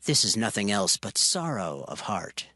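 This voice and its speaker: An actor playing a stern, commanding older king in a dramatized scripture recording, questioning slowly and gravely. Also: on the low side, big pitch swings, bright, forceful tone, no echo, studio quality, full character voice. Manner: slow, measured questioning.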